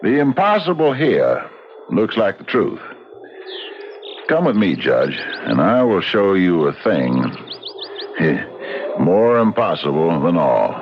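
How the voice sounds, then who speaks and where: An actor playing a shrewd, unhurried frontier sheriff in a folksy, deliberate and probing way, in the style of old-time radio drama. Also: male voice, low voice, sing-song, heavily acted. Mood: shrewd, calm. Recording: dull, noisy old radio recording.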